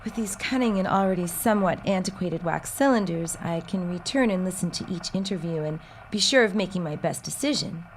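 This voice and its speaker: Composed, lowish-voiced society lady played by a woman. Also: lively intonation, brisk, hardly any pauses, slight background noise, close to an everyday voice. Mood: poised, businesslike. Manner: formal, precise, businesslike. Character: genteel, composed society lady interviewing an employee. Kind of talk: period audio drama acting.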